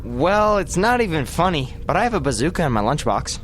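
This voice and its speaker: A man's voice: fairly high pitch, big pitch swings, a little fast, fairly bright tone, slight background noise. A man playing a helpful, dry-witted sidekick in a comedy radio play. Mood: sheepish.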